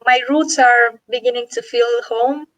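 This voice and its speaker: Romanian-accented woman, fairly high and dry; thoughtful guest on a video call. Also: fairly even intonation, unhurried, fairly bright tone, no room sound, rough recording. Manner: reflective, with many "uh" and "you know". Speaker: guest, NLP coach and founder. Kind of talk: online interview, personal story.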